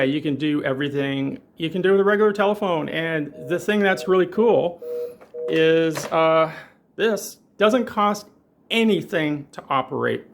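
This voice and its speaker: A man with a voice pitched a little above average presents a hands-on technical demonstration. He speaks informally and with enthusiasm, as a tutorial presenter explaining gear to viewers. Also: creaky, noisy background.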